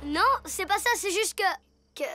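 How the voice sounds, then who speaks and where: A woman's voice: extremely high pitch, moderate pace. A woman voicing a vain, bossy young ninja boy villain in a French children's cartoon, with whiny delivery. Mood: defensive. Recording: loud music behind the voice. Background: cartoon score.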